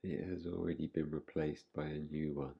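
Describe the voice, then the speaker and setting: A man guiding a relaxation meditation in an unhurried manner, leaving long pauses between phrases. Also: a bass voice, flat delivery, gravelly, husky, muffled recording. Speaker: meditation guide.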